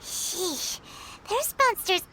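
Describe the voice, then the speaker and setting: A woman voicing a tiny, excitable childlike companion with a squeaky voice in a video game. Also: extremely slow, slight background noise, full character voice. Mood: uneasy.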